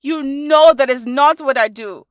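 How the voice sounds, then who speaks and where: A woman's voice: sits high, unhurried, dry recording, clean studio sound, pitched above the performer's own voice. A woman voicing a young woman dancer in an audiobook, a playful, determined elder sister with an emphatic way of talking. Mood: defiant. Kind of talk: audiobook character dialogue.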